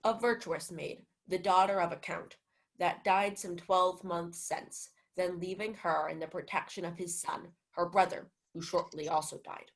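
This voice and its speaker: A loyal sea captain in a radio Shakespeare play, acted by a woman. The voice is on the low side for a woman, courteous and informative, delivering old-fashioned lines as a helpful guide. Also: fairly even intonation, moderate pace, low-quality recording. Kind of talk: student Shakespeare radio acting.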